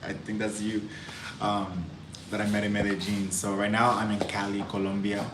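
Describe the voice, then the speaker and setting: Deep flat-voiced man on a live stream. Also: moderate pace. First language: English. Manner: reflective, greets viewers by name. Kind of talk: casual live stream chat. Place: indoors in Cali, Colombia. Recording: roomy with clear background noise.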